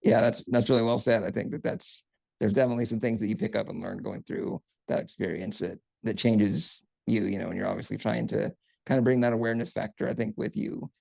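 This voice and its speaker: A man's voice: on the low side, a little fast, creaky, darkish tone, no room sound, poor audio. A man hosting a podcast interview, affirming his guest and asking questions in a casual way. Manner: affirming, backchannels often. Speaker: podcast host.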